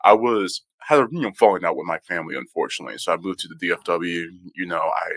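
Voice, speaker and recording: A man's voice: deep voice, fast, dry recording. A young man, a photographer, chatting casually as a podcast guest, rambling with lots of fillers. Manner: relaxed, rambling, many "you know" fillers. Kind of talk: casual interview conversation.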